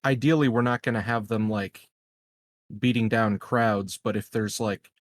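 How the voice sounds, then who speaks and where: A man playing a tabletop game, improvising dialogue casually in a hesitant, measured way. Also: lowish voice, fairly even intonation, no room sound, studio quality.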